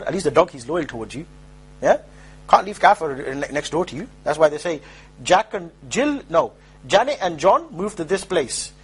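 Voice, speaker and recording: Fast-talking husky high-voiced man preaching. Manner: rapid, emphatic, mocking asides. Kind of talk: informal street-style lecture.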